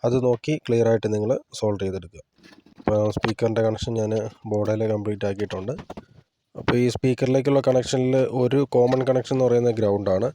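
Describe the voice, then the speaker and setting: A man's voice: on the low side, steady tone, fast talker, dark tone, slight background noise. A man giving a casual hands-on tutorial, explaining plainly step by step as a hobbyist presenter.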